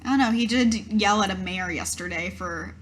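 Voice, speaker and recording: A woman's voice: medium pitch, normal speed, a bit bright, slight background noise. A woman playing a tabletop game, improvising in character in a casual, somewhat hesitant, questioning way.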